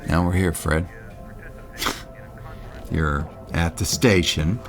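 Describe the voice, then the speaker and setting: A man's voice: sits low, steady tone, extremely slow, soft background music, exaggerated voice. An actor playing a blunt, exasperated radio station manager in an audio drama, sarcastic and profane as he argues. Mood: tense, resigned. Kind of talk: naturalistic audio drama acting.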